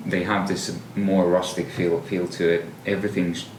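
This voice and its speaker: A young man with a very low voice talks in a relaxed, thoughtful way, pausing on fillers and restarting phrases. He sounds set back from the mic in a roomy space, with a faint hiss underneath. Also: far from the mic. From Finland. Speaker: guest. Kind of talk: casual podcast chat.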